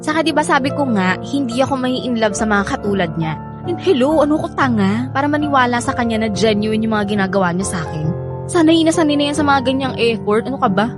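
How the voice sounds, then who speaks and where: A woman's voice: a little high, lively intonation, fast talker, music in the background, natural voice. A woman playing a sassy, skeptical young woman in a radio drama, scolding and sarcastic. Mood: defensive.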